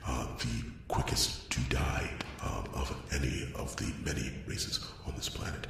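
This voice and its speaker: A man playing an awkward, lonely male dwarf blacksmith in a fantasy ASMR roleplay, rambling earnestly with a halting stammer in a very deep, gravelly voice. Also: fairly even intonation, heavily acted. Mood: flustered, earnest. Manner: stammering, hesitant, repetitive, earnest. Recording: very echoey, loud noise, distant mic.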